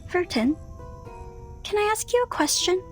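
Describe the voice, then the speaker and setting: A woman's voice: very high voice, sing-song, a little slow, soft background music, full character voice. An actress playing a sweet, eager, inquisitive young girl in a video game. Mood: eager, curious. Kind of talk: English video game character acting.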